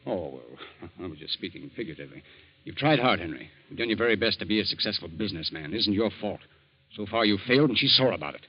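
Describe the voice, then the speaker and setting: Brief: sly scheming lawyer, lowish voice, dull vintage radio sound. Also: male voice, animated, fast, husky. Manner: insinuating, menacing. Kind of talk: 1940s radio acting. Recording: dull old radio recording.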